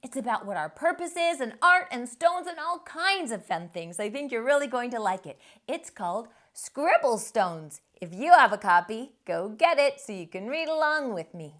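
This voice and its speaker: A woman's voice: a little high, very animated, moderate pace, few pauses, fairly bright tone. A woman narrating a children's storybook expressively, enunciating for young listeners as a storytime host.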